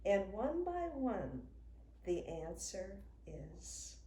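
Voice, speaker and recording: Older woman reading slowly, very lively pitch. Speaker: children's book author reading aloud. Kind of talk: read-aloud picture book storytelling for young children. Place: indoors in a home room with a wooden desk. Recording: roomy sound with faint noise.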